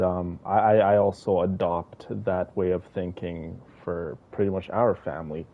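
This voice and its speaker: A man's voice: low-pitched, narrow, tinny audio. A man giving casual, rambling, sentimental commentary as a game streamer.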